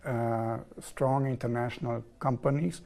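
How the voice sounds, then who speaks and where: A man's voice: mid-range voice, fairly even intonation, a little mellow. German man in his forties explaining steadily. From southern Germany. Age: 40s. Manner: measured, explanatory, repeats set phrases. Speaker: interview guest, engineer. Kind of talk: TV studio interview. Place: TV studio.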